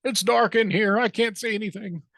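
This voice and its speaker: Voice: mid-range voice, moderate pace, vocal fry, fairly bright tone, dry recording, pitched above the performer's own voice. A storyteller voicing a naive, eager, simple-minded male turkey in a picture book, earnest and full of questions. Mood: curious.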